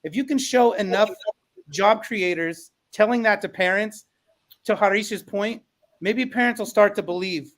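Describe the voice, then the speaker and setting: This man has a high-pitched voice for a male speaker. He talks in a conversational panel style, laying out his points in an articulate and persuasive manner. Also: measured pace, fairly bright tone, poor audio. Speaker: podcast panelist.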